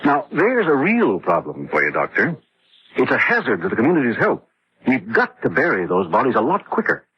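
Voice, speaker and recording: A man's voice: mid-range voice, huge pitch swings, brisk. An actor playing a defensive, rationalizing husband who tells his story in dramatic radio horror style. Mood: defensive, concerned. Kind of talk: dramatic first-person radio horror narration and dialogue. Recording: muffled old-time radio drama recording.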